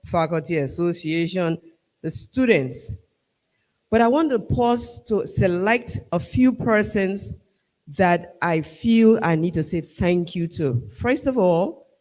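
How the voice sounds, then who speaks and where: A man with a Liberian accent giving a formal vote of thanks at a ceremony, measured and emphatic, rallying the crowd. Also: high-pitched, a little mellow. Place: large hall at a university ceremony. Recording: long reverb of a large hall.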